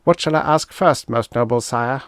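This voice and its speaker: Man voicing obedient alien henchman, deferential, dutiful, pitched up well above his usual voice, pulp sci-fi audiobook. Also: normal speed, no echo, clean studio sound, natural voice. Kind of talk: pulp science fiction audiobook character.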